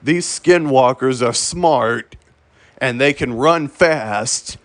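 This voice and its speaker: A man's voice: mid-range voice, slow, fairly bright tone, no echo. A man reading a scripted emergency warning as an announcer, stumbling over words and repeating himself. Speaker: emergency alert announcer in a hobby fictional broadcast.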